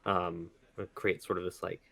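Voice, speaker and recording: Man, tabletop player, fairly low pitch, very unhurried pace, hesitant improvised narration. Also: flat delivery, gravelly, fairly bright tone. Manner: hesitant, searching for words.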